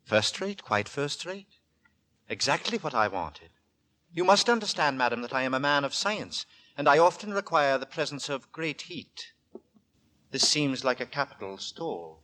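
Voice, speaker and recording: An actor playing a weary, secretive, quietly menacing gentleman lodger in a formal, halting, repetitive manner, in 1940s radio horror style. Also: fairly high pitch, lively intonation. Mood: pleased, intense. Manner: formal, halting, repeats his words. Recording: vintage 1940s radio broadcast recording.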